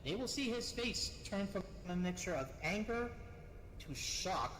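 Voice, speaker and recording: A man's voice: high voice, lively intonation, unhurried, a bit bright. A man speaking in improvised role-play over a virtual reality voice chat. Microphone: VR voice chat microphone. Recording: echoey, reverberant, faintly noisy voice chat.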